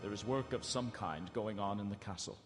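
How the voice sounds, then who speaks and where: An actor playing an earnest, frightened captive in a live radio horror drama, with halting, theatrical delivery. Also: male voice, fairly low pitch, loud music behind the voice, close to an everyday voice. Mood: anxious dread. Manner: theatrical, halting, anxious repetition. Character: earnest, frightened Englishman held prisoner, narrating his journal. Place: large hall stage, live broadcast. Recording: live theatre hall, long reverb.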